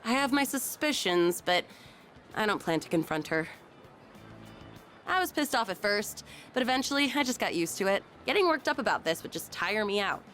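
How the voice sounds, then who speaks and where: A woman's voice: mid-range voice, very animated, normal speed, a bit bright, soft background music. A young woman voicing an easygoing, frank, sporty teenage schoolgirl in a video game, with casual delivery. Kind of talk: video game character dialogue.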